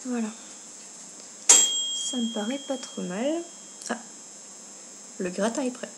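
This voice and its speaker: A woman talks through a makeup tutorial in an informal way, as if thinking aloud while she works. Her voice sits fairly high. It is a homemade indoor recording with some faint noise behind her. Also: slow. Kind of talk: casual step-by-step tutorial chat. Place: indoors at home. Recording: noticeable background noise, amateur home video.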